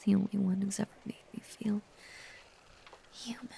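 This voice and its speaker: A woman voicing an obsessive, possessive female werewolf lover in an intimate audio roleplay, breathy and often whispering, with a halting, intense, confiding delivery that is tender yet menacing. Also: mid-range voice, fairly even intonation, mellow, a little hiss or hum. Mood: tender, confessional.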